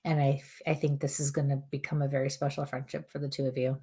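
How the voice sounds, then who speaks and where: A woman medium giving spirit messages on a live show in a calm, earnest way, her throat strained as she loses her voice. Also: extremely low pitch, quick pace, breathy edge. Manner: calm, earnest, relays messages in repeated "I feel like" phrasing. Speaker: psychic medium and co-host. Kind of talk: live chat-room spirit readings.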